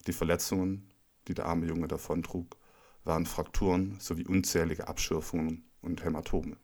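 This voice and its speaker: A man calmly reading a personal first-person text aloud in a measured, even way. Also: deep voice. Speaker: reader. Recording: intro over zither music.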